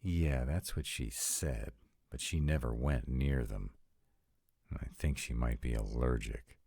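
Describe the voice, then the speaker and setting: A man's voice: extremely low pitch, slow, vocal fry, warm tone, husky, no room sound, heavily acted. A man voicing a sarcastic, exasperated vampire in a scripted roleplay audio, talking to his partner with dry, emphatic delivery. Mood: anxious, sardonic. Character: sarcastic male vampire, exasperated, anxious about in-laws.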